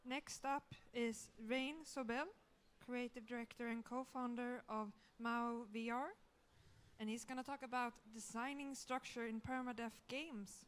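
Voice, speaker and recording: Smooth-voiced woman introducing a speaker. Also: fairly high pitch, fairly even intonation, measured pace. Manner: brief, welcoming, with hesitant fillers. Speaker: event host introducing speakers. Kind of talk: stage introduction.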